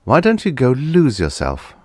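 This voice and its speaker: A man's voice: mid-range voice, wildly swooping pitch, moderate pace, darkish tone, breathy edge, no echo, studio quality, voice pushed up. Man playing a smug, chirpy scheming businessman. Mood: breezy, commanding. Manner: chummy, airy, casually commanding. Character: smug, cheerfully callous scheming businessman narrator.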